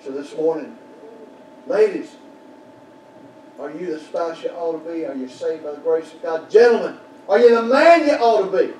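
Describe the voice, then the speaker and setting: Older pastor, fervent pulpit preacher, echoey sanctuary recording with room noise. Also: male voice, fairly high pitch, expressive, moderate pace, long pauses. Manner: fervent preaching with sudden shouted peaks, then quiet prayer. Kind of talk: sermon. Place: small church sanctuary. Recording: roomy church echo from far off, background noise.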